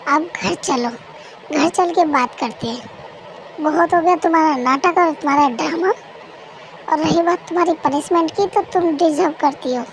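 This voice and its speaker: A woman voicing a stern, possessive young husband who speaks in a low, quiet, threatening tone, in an amateur fan dub. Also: takes it slowly, a little hiss or hum, low-quality recording.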